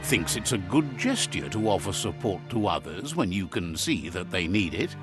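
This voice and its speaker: Male game narrator, low voice, measured storybook delivery, relays characters' speech. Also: sing-song, loud music behind the voice.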